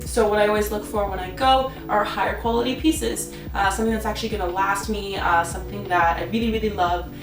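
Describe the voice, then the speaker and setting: A young woman, a guest in a casual chat, speaking in a relaxed way with many "um"s. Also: mid-range voice, quick pace, hardly any pauses. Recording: roomy echo, distant mic, background music. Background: background music.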